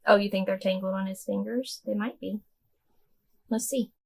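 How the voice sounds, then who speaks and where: A woman reading a rhyming children's storybook aloud to a child in an expressive, sing-song rhythm. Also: medium pitch, normal speed, gravelly, husky. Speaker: storybook reader talking with a child.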